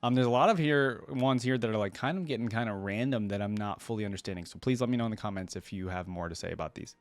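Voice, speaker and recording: A man's voice: fairly low pitch, fast talker, no room sound. Informal man reacting, dry close sound. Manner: informal, rambling, enthusiastic. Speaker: reaction video host. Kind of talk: casual reaction commentary.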